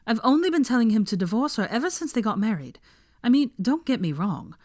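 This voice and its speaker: Woman playing a blunt, animated, concerned young man. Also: a little high, brisk, no room sound, clean studio sound, voice pushed up. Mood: relieved, exasperated. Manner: stern, blunt.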